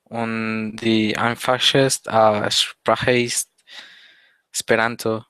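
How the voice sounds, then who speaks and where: Teen guy, slow hesitant talk, bright super clear voice. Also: fairly low pitch, little pitch movement, dry recording. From Mexico. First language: Spanish. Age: teens. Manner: hesitant, many pauses and fillers. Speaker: interview guest, language learner. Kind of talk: casual interview chat.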